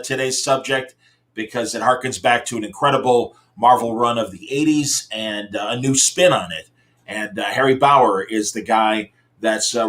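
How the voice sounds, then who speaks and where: A man hosts a live comics talk show with a mid-range voice. He chats casually with his guest in an upbeat, halting way. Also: medium pitch, a bit bright. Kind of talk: casual interview chat.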